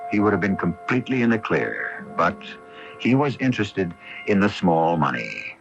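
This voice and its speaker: A man's voice: low-pitched, very animated, normal speed, creaky. A man hosting and narrating a radio mystery in a wry storyteller's manner. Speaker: mystery drama host and narrator. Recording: muffled AM radio broadcast with music.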